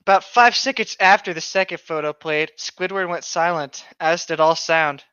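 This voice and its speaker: A man's voice: fairly high pitch, normal speed, pushed and bright, smooth, no echo, dull audio. A man co-hosting a comedy show, casually reading aloud with mocking, sweary commentary.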